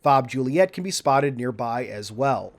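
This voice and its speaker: A man reading a scripted video game walkthrough in a measured, orderly, instructional way. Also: medium pitch, expressive. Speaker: video game guide narrator. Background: background music.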